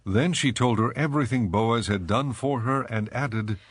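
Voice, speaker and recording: A man narrating scripture from a script in a measured, solemn manner. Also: medium pitch, slightly rough, no echo.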